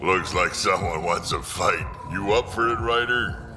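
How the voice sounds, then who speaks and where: An actor voicing a gruff, aging alien warrior in a video game, blunt and world-weary, with a deep gravelly voice. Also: male voice, unhurried, long reverb tail, music in the background. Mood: combative. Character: gruff, aging male alien krogan warrior, battle-loving.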